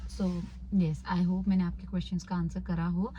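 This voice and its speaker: A woman's voice: on the low side, level tone, normal speed, warm tone, dull audio, a little hiss or hum. A young woman in her twenties with an Indian accent, mixing Hindi and English as she casually answers viewer questions in a vlog. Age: 20s. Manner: switches between Hindi and English. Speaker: YouTuber.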